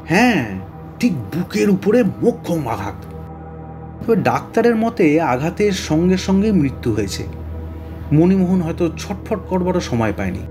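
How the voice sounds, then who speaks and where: An actor playing an earnest, baffled police inspector in an audio drama, speaking with puzzled emphasis. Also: male voice, sits high, big pitch swings, measured pace, a little mellow, soft background music, exaggerated voice. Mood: reporting.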